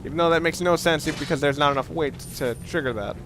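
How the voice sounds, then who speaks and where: A man's voice: fairly high pitch, fast talker, music in the background. A man giving casual, unscripted video game commentary in a rambling, sweary, slangy way. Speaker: let's play commentator. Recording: clear background noise.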